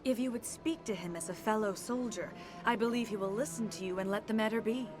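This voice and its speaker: A woman voicing a poised, influential consort in a video game, courteous, discreet, formal and deliberate. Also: medium pitch, over music, close to an everyday voice. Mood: earnest, discreet.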